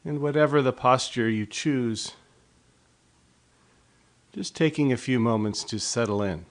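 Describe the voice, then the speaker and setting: A man leading a guided body scan meditation in a slow, soft, unhurried way. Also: medium pitch.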